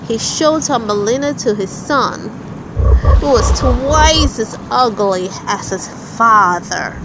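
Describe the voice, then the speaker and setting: Slow, high-pitched woman reading a storybook. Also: background noise. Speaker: storybook narrator. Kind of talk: read-aloud children's story narration.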